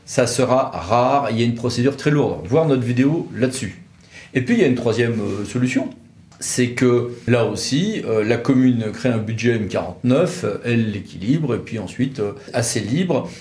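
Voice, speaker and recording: A man's voice: medium pitch, lively intonation, normal speed, darkish tone. A man giving a conversational legal explainer, didactic, repeating words for emphasis. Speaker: legal expert presenter. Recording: echoey room with light noise.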